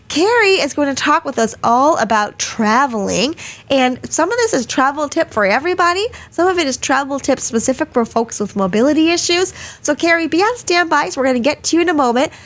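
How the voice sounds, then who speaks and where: Chatty woman host, slightly croaky. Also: sits high, lively intonation, a little fast, a bit bright. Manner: chatty, greets many attendees by name. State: hoarse from a cold. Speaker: webinar host. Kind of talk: casual live webinar hosting. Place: small studio. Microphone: studio mic.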